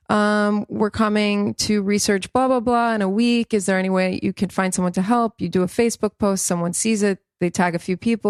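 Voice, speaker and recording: A woman's voice: lowish voice, clear, resonant tone, dry recording, studio quality. A woman from Israel co-hosting a podcast, explaining at length in an earnest, rambling way with restarts. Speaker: podcast co-host. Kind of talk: casual podcast chat.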